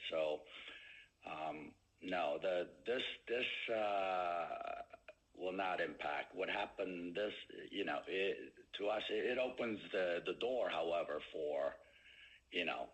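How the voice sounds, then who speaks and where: A man with a very low, bright-edged voice answers as a company executive on an earnings call. His delivery is slow and halting, broken by frequent hesitant pauses. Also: rough recording.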